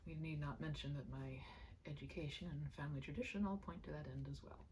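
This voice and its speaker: Woman as a weary, strained young gentleman husband. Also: low-pitched, steady tone, slight background noise, close to an everyday voice, rough recording. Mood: resigned. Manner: halting, formal, strained self-control. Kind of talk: dramatic storybook read-aloud.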